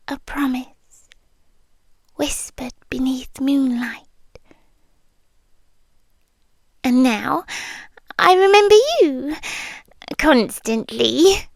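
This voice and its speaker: A British woman playing an ancient, possessive, obsessively devoted immortal lover in an intimate ASMR roleplay, speaking in a hushed, breathy, deliberate way that often slips into whispers. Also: sits high, no echo. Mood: wistful, adoring. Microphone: close microphone, dry.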